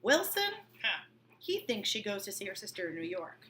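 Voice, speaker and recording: A brash rich man, acted by a woman reading aloud, speaks bluntly and scornfully in a storybook. The delivery is forceful and jumps around in pitch, with noticeable room noise behind it. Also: big pitch swings, moderate pace. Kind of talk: acted dialogue in a read-aloud novel.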